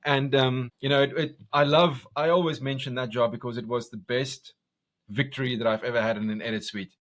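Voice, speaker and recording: South african guy w/ afrikaans accent, loud and intense, keeps restarting sentences. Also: mid-range voice, fairly even intonation, brisk. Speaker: guest, commercial director. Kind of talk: casual interview conversation.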